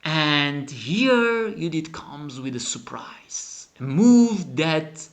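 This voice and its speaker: A man who is a non-native English speaker gives animated, emphatic chess commentary as a teacher, dramatizing the game. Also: fairly high pitch, extremely slow. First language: not English. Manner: dramatic, emphatic, acts out the players' thoughts. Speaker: chess teacher and channel host.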